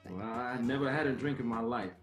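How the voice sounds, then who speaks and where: A man's voice: mid-range voice, brisk, natural voice. An actor as a proud middle-aged father, roomy echo. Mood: stubborn, teasing. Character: proud middle-aged husband and father, stubborn but tender. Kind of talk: screenplay table read. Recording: roomy echo, faint music behind.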